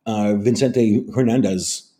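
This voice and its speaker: A man's voice: fairly low pitch, fairly even intonation, takes it slowly, mellow. A man hosting an interview, eager and curious, talking casually with loose phrasing. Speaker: interview host.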